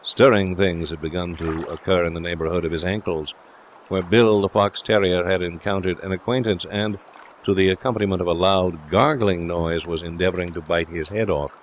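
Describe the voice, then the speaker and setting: A man's voice: deep voice, fairly even intonation, moderate pace, a little hiss or hum. A man reading scripted literary narration as an audiobook narrator.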